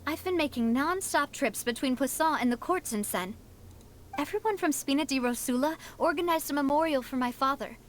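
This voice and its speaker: A woman's voice: sits high, lively intonation, a little fast, slight background noise. A woman voicing a confident, cheerful young noblewoman leader in an anime-style video game, with a breezy, self-assured, theatrical delivery. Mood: reflective. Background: game music.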